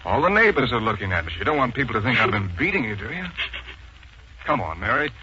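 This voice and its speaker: An actor playing an earnest, kindly young son of a mill owner, in 1940s radio drama style. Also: male voice, medium pitch, very animated, quick pace, bright, forceful tone. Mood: gentle, kindly. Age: young adult. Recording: dull, noisy 1940s radio recording.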